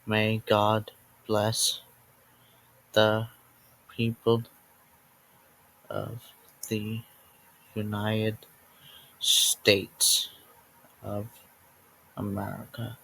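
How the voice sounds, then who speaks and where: A man reading a scripted emergency alert announcement as narrator, in a halting way that breaks sentences into short groups of words. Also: on the low side, monotone, gravelly, pushed and bright, poor audio.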